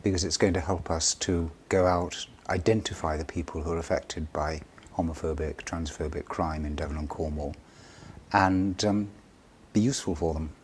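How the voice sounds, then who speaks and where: A man's voice: low-pitched, fairly even intonation, normal speed. A man speaking for a charity in an interview, explaining things in an earnest way. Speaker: charity representative being interviewed. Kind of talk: interview answers. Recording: clear background noise.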